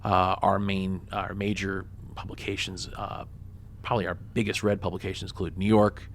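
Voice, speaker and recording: Deep-voiced male reporter guest, wisecracking, conversational, faint background hiss. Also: flat delivery, normal speed. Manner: joking, loose and conversational with many fillers. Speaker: guest, reporter for an online publication. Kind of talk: radio interview.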